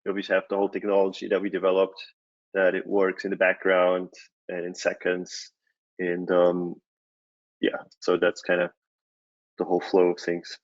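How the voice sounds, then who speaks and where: A man from Switzerland gives a relaxed conference talk, pausing on fillers and restarting his sentences. His voice sits very low and stays flat, and the sound is dull and lo-fi, as over a video call. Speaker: conference speaker, company CEO. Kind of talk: presentation talk.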